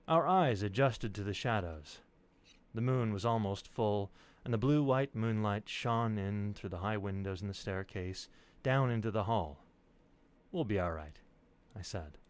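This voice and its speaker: A man's voice: on the low side, a little slow, clear, resonant tone. A man reading stories aloud on a stream, with casual asides. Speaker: reader on a storytelling stream.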